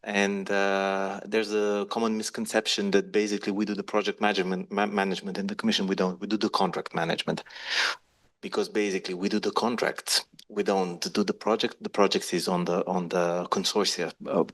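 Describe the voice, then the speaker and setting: A man introducing himself on a panel, speaking in a measured way with frequent hesitations. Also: low-pitched, flat delivery, fairly bright tone. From Slovenia.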